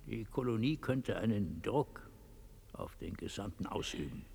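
An actor playing an imperious, coldly courteous Latin American dictator in a radio play, husky, gravelly and formally menacing. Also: male voice, fairly low pitch, lively intonation, unhurried, over a noisy crowd. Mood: coldly polite. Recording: echoey hall with long reverb.

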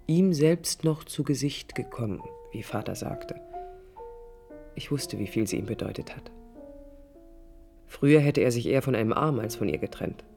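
An actress playing a disillusioned young divorced woman, recounting her past in a hushed, reflective, confiding radio play monologue. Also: very deep voice, expressive, normal speed, long pauses. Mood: wistful, hushed. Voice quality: husky, breathy. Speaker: narrator and protagonist. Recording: music under studio radio drama. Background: music.

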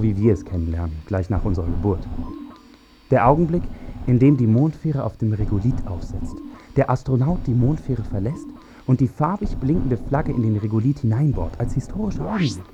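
A man's voice: mid-range voice, lo-fi sound, close to an everyday voice. An actor playing a man who expounds in emphatic declarations in a scripted radio drama. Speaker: radio play speaker. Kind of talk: scripted German radio drama, essayistic narration.

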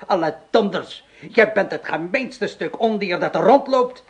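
A vengeful mock judge. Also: male voice, high-pitched, animated, a little slow. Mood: menacing. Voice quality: theatrical, menacing, commanding. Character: vengeful male village ringleader acting as judge. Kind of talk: Dutch radio drama acting.

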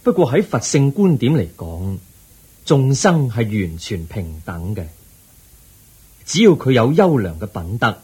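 A man with a Hong Kong Cantonese accent playing the Buddha, a calm, wise teacher who counsels gently and patiently in a radio drama. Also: mid-range voice, measured pace, slightly rough, full character voice.

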